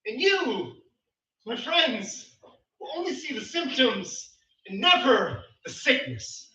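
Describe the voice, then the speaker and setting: A man's voice: very high voice, wildly swooping pitch, slow pace, pushed and bright. A man performing slam poetry with a dramatic, emphatic delivery. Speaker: slam poet. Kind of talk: spoken word poetry performance. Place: live poetry event venue. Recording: echoey room, distant mic, slightly muffled.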